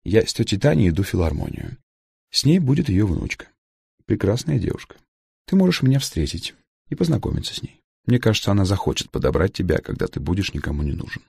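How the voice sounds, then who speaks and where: A man voicing a sharp-tongued old grandmother in an audiobook, blunt and dry, handing out aphorisms. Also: low voice, sing-song, fast talker, creaky, slightly rough, no echo, heavily acted. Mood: matter-of-fact.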